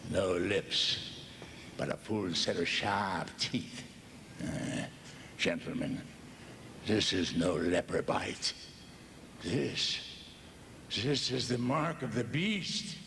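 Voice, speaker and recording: Gravelly, irascible German doctor. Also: male voice, on the low side, animated, slow pace, long pauses, pushed and bright, a little hiss or hum, full character voice. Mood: grave dread. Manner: brusque, commanding. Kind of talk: horror audio drama acting.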